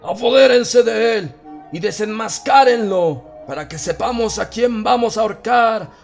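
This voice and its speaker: A man voicing a furious, imperious prince in a horror audiobook, hoarse and commanding, with a Latin American Spanish accent. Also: high-pitched, a little slow, faint music behind, pitched above the performer's own voice. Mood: outraged fury. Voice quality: hoarse, shouting voice. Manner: commanding, outraged, theatrical.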